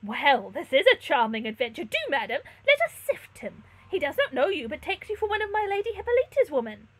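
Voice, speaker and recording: A woman voicing a frightened, excitable young maidservant in a gothic novel, melodramatic and full of exclamation. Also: high voice, fairly quick, voice pushed up, low-quality recording. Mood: gushing, excited. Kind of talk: gothic novel read-aloud.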